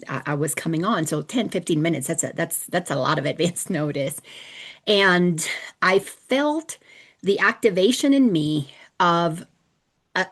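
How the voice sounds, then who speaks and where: Middle-aged woman from the dominican republic, lowish voice, rambly chatty spiritual talk. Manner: chatty, warm-hearted storytelling with frequent restarts. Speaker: host and spiritual teacher. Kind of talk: casual spiritual teaching talk.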